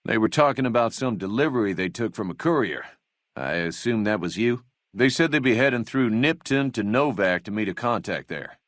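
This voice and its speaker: A man's voice: on the low side, animated, normal speed, a bit bright, no echo, studio quality. An actor playing a meek, self-important small-town deputy in a video game, fussy, wordy and mannered in his politeness. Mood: eager, fussy. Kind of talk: scripted game dialogue acting.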